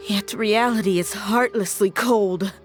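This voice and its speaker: A woman playing a soft-spoken, wistful young woman in a video game, longing for a loved one, hesitant and sorrowful. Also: medium pitch, a little slow, faint music behind, lightly acted. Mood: sad, resigned. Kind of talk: scripted video game voice acting.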